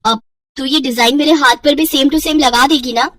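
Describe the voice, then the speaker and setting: A woman's voice: high voice, steady tone, moderate pace, fairly bright tone, no room sound, clean studio sound, recorded from a distance. A woman voicing a bossy, fussy, well-off housewife in a story, blunt and informal. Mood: impressed.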